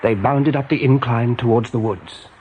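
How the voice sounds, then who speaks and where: A man narrating an animal adventure as a calm storyteller in a radio play. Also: medium pitch, moderate pace, background noise, natural voice. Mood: grave, measured.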